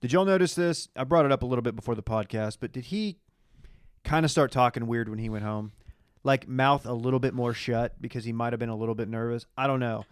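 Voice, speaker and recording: A male American podcast co-host with an average-pitched voice. He speaks in loose, casual banter about a reality show. The recording is very dry, with no room sound. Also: fast, clear, resonant tone, no room sound. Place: small podcast studio.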